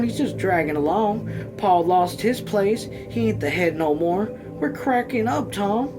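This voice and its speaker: Man voicing an anxious, pleading country mother, pitched high. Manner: folksy dialect, earnest pleading. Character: anxious, weary, pleading middle-aged rural mother.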